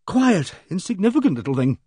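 A man voicing a loud, blunt, self-assured middle-aged woman who booms her opinions. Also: very animated, fairly quick, husky, no echo, studio quality, heavily acted. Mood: brisk, booming. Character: booming, bossy, complacent middle-aged woman.

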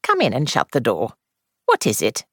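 Woman doing a crisp elderly spinster. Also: very deep voice, wildly swooping pitch, normal speed, a bit bright, no echo, exaggerated voice. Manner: crisp and dry.

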